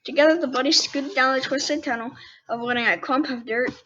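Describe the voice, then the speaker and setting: A child of ten with a high voice reads a children's book aloud and chats casually between passages. The recording is a bit muffled, with faint background noise. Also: steady tone, a little fast, pushed and bright. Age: 10 years old. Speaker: child host reading storybooks aloud. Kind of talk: casual read-aloud with asides.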